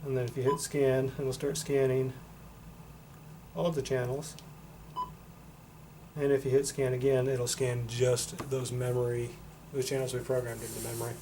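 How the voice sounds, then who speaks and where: Guy casually walking thru product features, pretty flat matter of fact voice, bit of noise behind him. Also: mid-range voice, normal speed, long pauses. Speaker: product reviewer and demonstrator. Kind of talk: casual product demonstration, unscripted. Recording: noticeable background noise.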